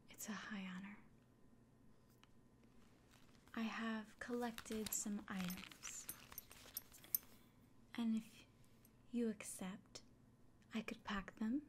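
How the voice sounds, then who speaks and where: A woman playing a kindly village innkeeper in a fantasy ASMR roleplay, speaking in a soft, breathy whisper with gentle, hesitant pauses. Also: medium pitch, close to an everyday voice. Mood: hopeful, earnest. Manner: gentle, unhurried, hesitant pauses, fussing over the listener. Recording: close soft recording with clear background noise.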